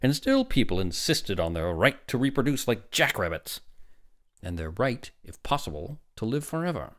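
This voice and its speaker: A man voicing a huge, self-important male doctor in a storybook reading, with a booming, hearty, lecturing manner. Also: very animated, moderate pace, lightly acted. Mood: severe, lecturing. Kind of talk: storybook character in a read-aloud.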